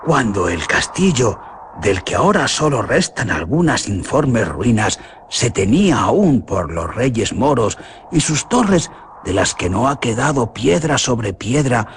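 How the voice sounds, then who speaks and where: A man with a Spanish accent from Spain, narrating a legend for a radio drama in an expressive, theatrical way. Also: mid-range voice, a little slow, a little hiss or hum. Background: music.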